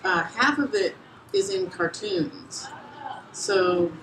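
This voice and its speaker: A soft-spoken woman, an author about to read, talking hesitantly with restarts. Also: medium pitch, slow, a little hiss or hum. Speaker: guest author. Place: bar with a live audience. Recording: distant, roomy bar sound.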